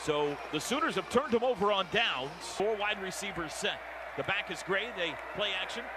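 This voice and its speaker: An American man with a high-pitched voice calls live football on the radio. He speaks in clipped, urgent play-by-play phrases over a roaring stadium crowd and heavy noise. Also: expressive. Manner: clipped rapid-fire phrases, urgent calls on big plays. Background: loud stadium crowd.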